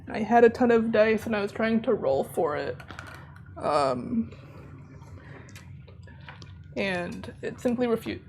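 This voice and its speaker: A slightly hoarse woman chatting casually to her viewers while she plays a game, rambling and laughing as she goes. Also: a little high, darkish tone, slight background noise. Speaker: streamer. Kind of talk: casual live-stream chat while gaming. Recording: game music playing behind.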